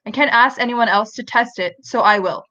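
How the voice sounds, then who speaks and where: A woman's voice: a little high, a little fast, sharp tone, muffled recording. A woman acting a driven, defensive scientist in an amateur radio play, insistent and formal. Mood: determined.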